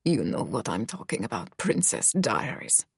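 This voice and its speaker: A woman voicing a cold, domineering young man who talks in a low, quiet growl. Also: big pitch swings, normal speed, vocal fry, slightly rough, dry recording, clean studio sound, full character voice. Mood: menacing.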